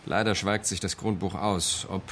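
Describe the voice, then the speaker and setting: An actor playing a composed, matter-of-fact police captain in a radio crime drama, giving an orderly briefing. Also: male voice, deep voice, fairly even intonation, moderate pace, fairly bright tone, a little hiss or hum. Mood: factual briefing.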